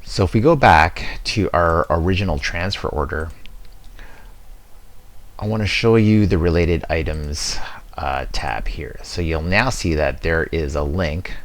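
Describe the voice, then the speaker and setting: A man with an exceptionally deep voice narrates a software walkthrough. He sounds casual and conversational, explaining each step plainly as a presenter would in a screen demo. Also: a little slow.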